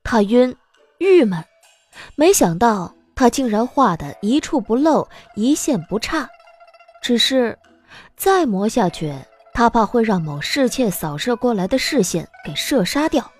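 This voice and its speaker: A woman's voice: on the high side, very animated, a little slow. A woman narrator reading a story in a dramatic audiobook style. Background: soft background music.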